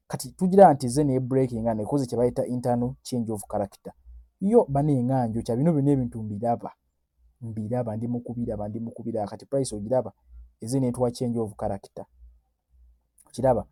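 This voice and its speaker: A man's voice: mid-range voice, warm tone, no echo. A Ugandan man with a Ugandan accent giving a casual forex chart breakdown, mixing Luganda and English in a chatty, boastful way. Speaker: forex trading analyst. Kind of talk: casual screen-recorded chart analysis.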